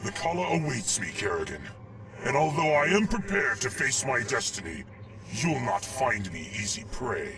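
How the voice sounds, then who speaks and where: An actor playing a noble, proud alien warrior in a video game, speaking in formal, archaic, solemn tones. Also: male voice, medium pitch, very animated, normal speed, recorded from a distance, noisy background, close to an everyday voice. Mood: proud, grave. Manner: formal, grave, archaic diction. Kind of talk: dramatic video game voice acting.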